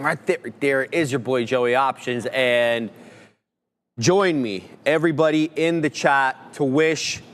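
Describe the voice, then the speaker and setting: A man co-hosting a live trading stream, reading out chat shout-outs with energetic hype-man delivery. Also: mid-range voice, long reverb tail, a little hiss or hum. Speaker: co-host of live trading show.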